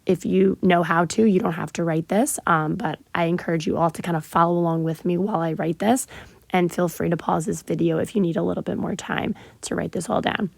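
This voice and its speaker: A woman teacher, sick and hoarse, patiently walking her students through math homework step by step with frequent ums. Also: on the low side, steady tone, a little fast, darkish tone, no room sound. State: sick, partly lost voice. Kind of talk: recorded math lesson walkthrough.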